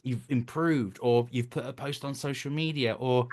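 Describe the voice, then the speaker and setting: A man's voice: mid-range voice, fairly even intonation, normal speed, a little mellow. A man in his thirties speaking as a voice acting coach in a conversational interview, explaining things earnestly. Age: 30s. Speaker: voice actor, director and coach.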